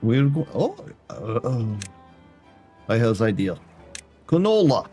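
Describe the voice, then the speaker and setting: A man's voice: on the low side, lively intonation, unhurried, warm tone, soft background music. A Canadian man streaming a game, chatting to his viewers in a put-on foreign accent that drops articles. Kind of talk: casual gameplay chat with viewers.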